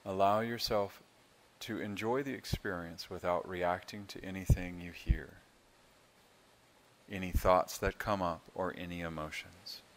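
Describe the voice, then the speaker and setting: A man leading a guided meditation as a yoga instructor, speaking in a calm, soothing, unhurried way with long pauses. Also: low voice.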